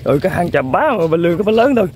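An outdoors man talks his viewers through his catch with excited exclamations. His voice is slightly high yet mellow and soft-edged. Faint noise sits under the recording. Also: racing. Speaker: fishing vlogger. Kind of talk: casual running commentary. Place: outdoors by a muddy riverbank.

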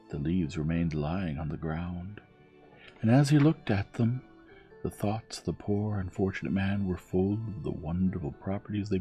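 Male bedtime story reader, very low and warm, soft measured delivery. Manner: soft, measured, soothing reading. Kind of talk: gentle scripted storybook narration. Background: music.

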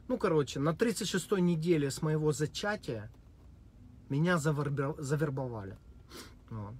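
A man giving a deadpan, mock-serious spoken monologue with many hesitations and fillers. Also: fairly high pitch, moderate pace, breathy edge. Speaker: vlogger.

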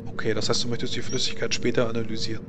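A male game master narrates a tabletop role-playing scene off the cuff. He feels his way through sentences with pauses, in an average-pitched voice. The recording has clearly audible noise. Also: flat delivery. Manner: halting, searching for words. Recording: clearly noisy home recording.

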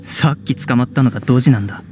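An actor playing a gruff, dismissive hunter in an anime game, speaking bluntly. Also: male voice, mid-range voice, very fast, a little mellow, a little hiss or hum, lightly acted. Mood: scornful.

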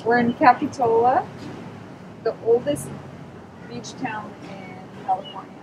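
A woman's voice: a little high, a little slow, highs cut off, background noise. A young woman from France with a French accent, chatting playfully during a road trip. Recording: loud music bed under voice. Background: music.

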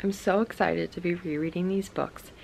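A woman's voice: on the low side, fairly even intonation, moderate pace, creaky, loud music behind the voice, rough recording. A woman in her twenties chatting casually and enthusiastically as a book vlogger, recorded on a camera at home. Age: 20s. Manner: enthusiastic, informal. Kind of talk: casual vlog chat. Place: at home, mostly in a bedroom.

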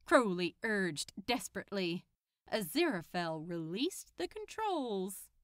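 A woman's voice: mid-range voice, very animated, unhurried, no echo, studio quality. A woman narrating a scripted piece of fiction as a storyteller. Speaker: podfic narrator.

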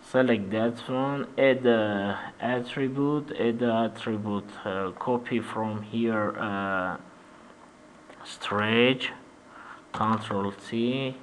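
A man from Armenia with an Armenian accent, guiding a software tutorial in halting, simple English with many "uh-huh" fillers. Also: lowish voice, a little hiss or hum. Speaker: 3D animation tutor. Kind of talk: screen-recorded software tutorial.

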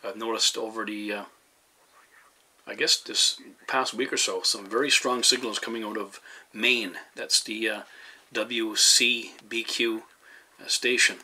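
A man's voice: on the low side, steady tone, a little slow, pushed and bright. A man from Canada giving a casual, rambling demonstration in a hesitant manner, as a hobbyist vlogger. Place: indoors at a radio receiver. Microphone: handheld camera microphone. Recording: faint noise behind handheld camera.

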